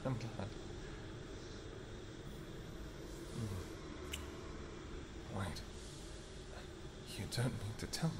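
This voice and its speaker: A man voicing a protective royal vampire husband in a scripted audio roleplay, soft-spoken and affectionate, with hesitant pauses. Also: on the low side, background noise, exaggerated voice. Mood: amused.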